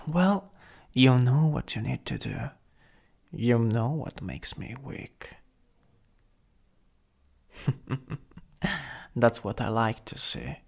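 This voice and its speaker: A man with an Italian accent playing a jealous, flirtatious supervillain in an intimate ASMR roleplay, breathy and often whispering. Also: medium pitch, a little mellow, full character voice. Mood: coaxing, flirtatious. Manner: slow, teasing, lingering delivery. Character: jealous, possessive, teasing flirtatious male supervillain.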